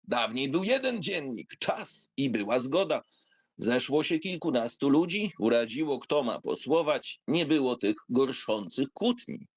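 A man reads a literary memoir aloud in a middle-pitched voice. His delivery is theatrical and wry, shaped like conversational storytelling. The sound is dry, with no room on it. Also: very animated, measured pace, breathy edge, no echo. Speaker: narrator reading a literary essay aloud.

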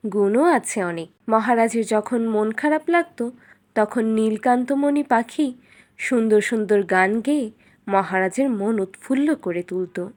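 A woman narrator reading a scripted fairy tale for children. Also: on the high side.

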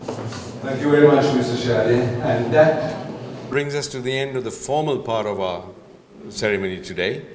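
A man acting as master of ceremonies, announcing slowly and formally. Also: mid-range voice, noisy background. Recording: echoey hall, heard from afar.

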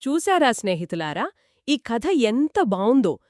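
Female story co-host, curious and reactive, lively conversational delivery, dry studio-like sound. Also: a little high, a little slow, dry recording. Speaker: co-host listening and asking questions.

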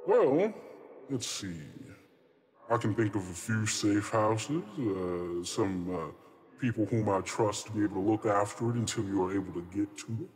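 Man playing a low, echoing, evasive trickster spirit. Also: animated, normal speed, darkish tone, natural voice. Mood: evasive, reassuring. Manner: hesitant, rambling, politely hedging. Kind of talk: improvised tabletop roleplay. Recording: long reverb on the voice.

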